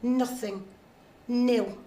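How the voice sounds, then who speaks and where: A woman giving a measured, deliberate dramatic reading aloud from a novel. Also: fairly high pitch, steady tone, mellow.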